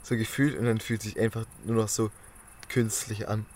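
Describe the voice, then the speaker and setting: A man's voice: on the low side, little pitch movement, normal speed, a little mellow, slight background noise. A man in casual podcast chat, musing and thinking aloud in loose phrasing. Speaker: podcast co-host.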